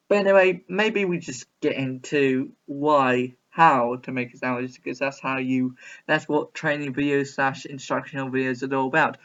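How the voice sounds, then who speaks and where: A man's voice: medium pitch, a bit bright, dull audio. A man giving casual, rambling video commentary in a chatty, repetitive, mock-baffled way. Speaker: reaction video host.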